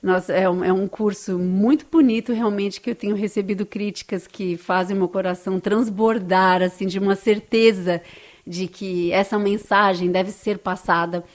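A woman's voice: mid-range voice, steady tone, moderate pace. A woman with a Brazilian Portuguese accent speaks as an interview guest. She sounds earnest and enthusiastic, and often checks in with her listener using tag questions. Her voice sits in a middle register. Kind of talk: interview answers.

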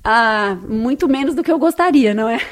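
A woman's voice: fairly high pitch, lively intonation, a little fast. A woman with a Brazilian Portuguese accent, a guest answering interview questions in an earnest, enthusiastic way that is often tagged with little questions.

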